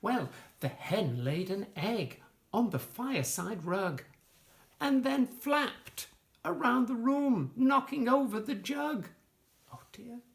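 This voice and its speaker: Female storyteller, rhyming picture book, unhurried pace, animated swooping intonation, gentle asides to children. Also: a little high, creaky.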